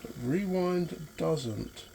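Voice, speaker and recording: A man with a mellow voice talks very slowly as he works through a hands-on demonstration. He murmurs tentative, broken phrases to himself in a casual way, over faint background noise. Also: fairly high pitch, creaky. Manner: tentative, murmuring short fragments while working. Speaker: vintage electronics hobbyist and presenter.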